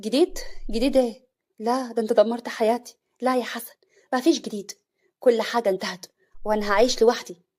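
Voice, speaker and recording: A woman's voice: fairly high pitch, steady tone, fast talker, a little mellow, close to an everyday voice. A woman voicing a grieving young wife, bitter and accusing, with an Egyptian accent. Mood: cold refusal. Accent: Egyptian Arabic.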